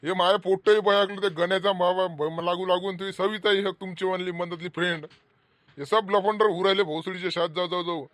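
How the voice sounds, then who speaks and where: Man playing a high-voiced, fast-talking village uncle. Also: steady tone, fairly bright tone, dry recording. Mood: indignant scolding. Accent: Vidarbha Marathi. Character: blunt, excitable middle-aged village uncle, a farmer. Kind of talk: homemade rural comedy cartoon dialogue.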